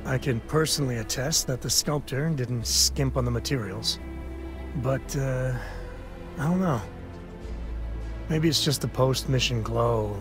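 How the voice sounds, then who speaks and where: Slow-talking, earnest space cowboy played by a man. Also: mid-range voice, close to an everyday voice. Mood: wistful. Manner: halting, earnest, sheepish. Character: rugged space cowboy, sincere, bashful, affectionate single father. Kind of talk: intimate scripted game dialogue. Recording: music behind the voice.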